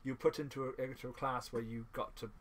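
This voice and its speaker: A man hosting a podcast in a casual chatty style, speaking haltingly and often repeating his phrases. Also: mid-range voice, fairly even intonation. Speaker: podcast host. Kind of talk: casual chat.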